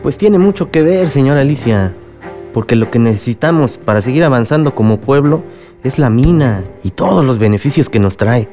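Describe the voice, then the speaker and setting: A scheming small-town Mexican mayor, played by a man in a radio soap opera. His voice is mellow and dark, his manner insinuating and condescending, with faint music behind him. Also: mid-range voice, animated, moderate pace, close to an everyday voice. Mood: condescending menace. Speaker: radio drama actor.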